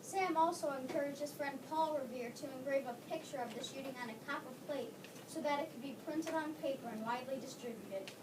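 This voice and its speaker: A woman's voice: sits high, brisk. A woman narrating a readers theater script in an earnest, slightly stumbling way. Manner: earnest reading with slips. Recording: echoey room, far from mic, noisy.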